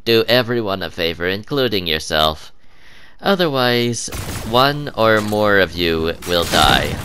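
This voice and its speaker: A low-pitched man talks through his gameplay at an unhurried, halting pace, filling gaps with ums and uhs and sounding sniffly from a cold. His tone is bright and forward despite the low pitch. Faint game noise runs underneath. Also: lively intonation. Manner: halting, many ums and uhs, chuckles often. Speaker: gaming channel host. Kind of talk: casual gameplay commentary.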